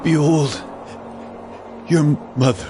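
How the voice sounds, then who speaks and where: Slow, solemn, suffering holy man. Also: on the high side, steady tone. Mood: suffering, tender. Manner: solemn, deliberate. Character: calm, suffering holy man facing execution. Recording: music bed under the voice.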